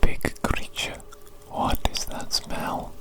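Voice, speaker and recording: A woman performing a whispered ASMR roleplay as an evil doctor who dotes on and scolds a monster creation, coaxing and repeating phrases. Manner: slow, repetitive, coaxing delivery.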